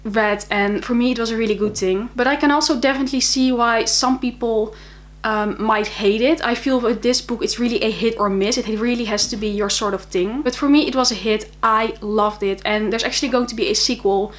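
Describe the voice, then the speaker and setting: A woman's voice: fairly high pitch, fairly even intonation, fairly quick. A young woman from the Netherlands with a Dutch accent, chatting casually and enthusiastically about books she read, with frequent fillers. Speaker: book vlogger. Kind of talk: casual monthly reading wrap-up.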